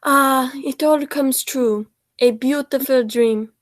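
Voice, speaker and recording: Young woman as a high, slow, timid male sidekick. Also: fairly even intonation, dry recording, studio quality. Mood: dreamy. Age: young adult. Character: timid, dreamy male doctor, criminal's sidekick. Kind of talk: amateur radio play acting.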